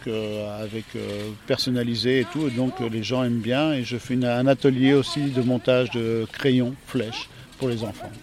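French man, middle pitch, arrow maker being interviewed, halting and casual. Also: a little mellow. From France. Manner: hesitant, many fillers, plain-spoken. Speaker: archery club captain and arrow maker.

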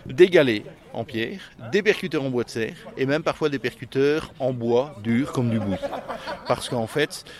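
A man's voice: medium pitch, normal speed, a crowd in the background. A man answering interview questions and explaining technique in careful, technical detail, often restarting mid-phrase. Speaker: flint-knapping expert.

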